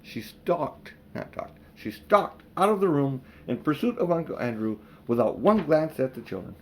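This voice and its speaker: A man's voice: a little high, big pitch swings, moderate pace, a little hiss or hum. An older man reading a children's story aloud in an informal way. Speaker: audiobook reader.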